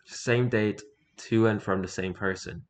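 A man's voice: lowish voice, steady tone, a little slow. A man reading a fictional horror document aloud, often stumbling over words and correcting himself. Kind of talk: scripted narration read aloud, halting.

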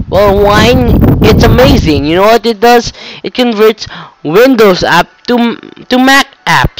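A teenage boy with a slight cold walks through a computer tutorial, rambling and correcting himself as he goes. His voice sits a bit high, and he speaks slowly. The laptop mic picks up plenty of noise and clicking. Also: low-quality recording. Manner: rambling, self-correcting, swears casually. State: has a cold. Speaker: tutorial maker. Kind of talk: casual screen-recorded tutorial. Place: indoor room at a computer.

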